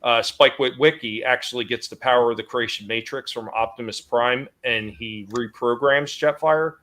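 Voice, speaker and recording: A man's voice: mid-range voice, fairly even intonation, moderate pace, fairly bright tone. A man hosting a casual collectors' show, chatting in a detailed, explanatory way. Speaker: host of a toy collecting show. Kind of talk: casual chat explaining toy history.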